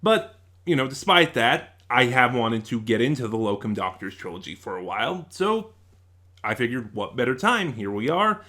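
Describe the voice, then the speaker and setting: A man speaks at a middle pitch as a reviewer, casual and unscripted. His delivery is halting, as he reworks his phrasing and searches for the right wording. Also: sing-song, sharp tone. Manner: halting, rephrases and searches for words. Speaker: YouTube reviewer.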